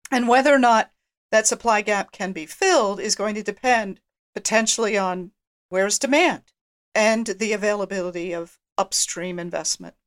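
A woman speaking as a research executive guest on a podcast, explaining in a brisk, businesslike way. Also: mid-range voice. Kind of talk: podcast discussion.

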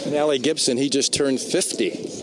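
A man's voice: medium pitch, steady tone, moderate pace, background noise. A man hosting a sports podcast, asking athletes questions in a conversational way at an outdoor event stage. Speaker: podcast host. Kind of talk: interviewing athletes. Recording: loud music behind. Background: music in background.

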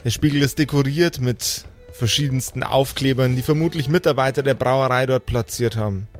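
A man narrating an improvised roleplay story as game master, measured and descriptive, with deliberate pauses. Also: medium pitch, faint music behind.

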